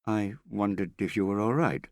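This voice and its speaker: A man reads the part of a kindly young girl, timid and careful. The delivery is gentle, soothing and a little apologetic, in audiobook style with a dry, close sound. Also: lowish voice, moderate pace, no echo, pitched above the performer's own voice, close to an everyday voice. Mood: hesitant. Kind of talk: audiobook character acting.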